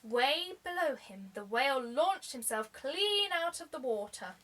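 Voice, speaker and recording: A woman reading a children's story aloud like a teacher, expressively, with deliberate dramatic emphasis. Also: high voice, sing-song, background noise.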